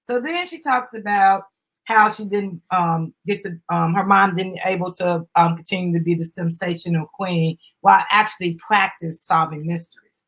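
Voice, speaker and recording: Woman, slightly rough-edged voice, upbeat host reading a children's book aloud with casual asides and prompts for a little one. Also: on the low side, normal speed, a bit bright, poor audio. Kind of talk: live dramatic storybook reading with chatty asides.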